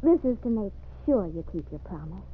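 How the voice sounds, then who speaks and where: A woman's voice: medium pitch, very animated, a little fast, breathy edge. A woman playing a sweet, earnest young frontier townswoman, polite and grateful, in an old-time radio western. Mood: shy, fond. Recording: noisy, tinny old radio recording.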